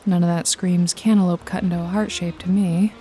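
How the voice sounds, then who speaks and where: A woman playing a mellow, sardonic noir detective. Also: on the low side, fairly even intonation, a little fast, lightly acted. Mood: watchful, matter-of-fact. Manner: sardonic, foul-mouthed, nonchalant, teasing. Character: wry, jaded female detective and hired killer, casually menacing. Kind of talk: noir ASMR roleplay, intimate one-sided dialogue to listener. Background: jazzy music and rain effects.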